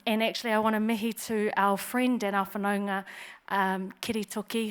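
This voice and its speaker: New woman presenting at a symposium. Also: mid-range voice. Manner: measured, warm formal address with frequent fillers. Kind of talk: prepared talk with formal greetings. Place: conference hall.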